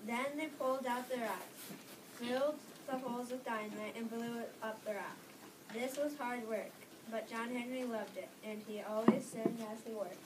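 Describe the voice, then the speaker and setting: A woman's voice: on the high side, fairly even intonation, moderate pace. A woman narrating a folk story from a script as part of a reader's theater. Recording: distant mic with loud background noise.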